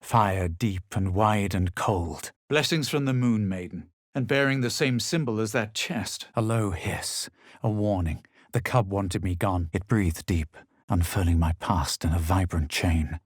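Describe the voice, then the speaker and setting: An actor playing a haughty, self-assured adventurer in a fantasy video game, with a measured, dramatic and superior delivery. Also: male voice, sits low, no echo, clean studio sound. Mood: composed, superior. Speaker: voice actor of a player character. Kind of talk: scripted video game character lines and narration.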